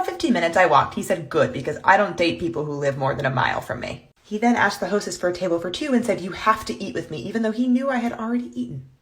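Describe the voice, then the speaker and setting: A woman telling a story about a bad date with a wry, dry delivery. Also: on the low side. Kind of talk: storytelling monologue.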